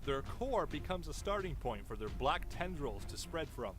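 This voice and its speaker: A man's voice: on the high side, very animated, moderate pace. A man reading a scripted documentary-style video narration with theatrical, suspenseful storytelling. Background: background music.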